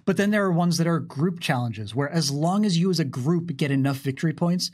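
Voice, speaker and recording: A man in his thirties narrating a tabletop game and explaining its rules in a conversational, animated way. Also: fairly high pitch, fairly quick. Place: small curtained studio, indoors. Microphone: microphone on desk boom arm.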